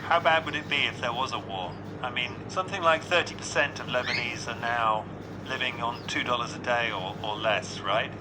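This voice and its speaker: Man, news presenter, mid-pitched voice, probing and measured, noisy background. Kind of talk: radio news interview.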